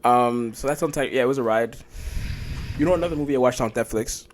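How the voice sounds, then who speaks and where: A young man hosting a podcast in casual chat, animated and joking, often calling people bro. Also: mid-range voice, fast talker, a little hiss or hum. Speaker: podcast host.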